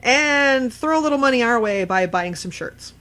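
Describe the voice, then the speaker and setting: A woman's voice: on the high side, animated, normal speed, fairly bright tone. A woman hosting a horror podcast, chatting casually in a salty, emphatic way and swearing freely.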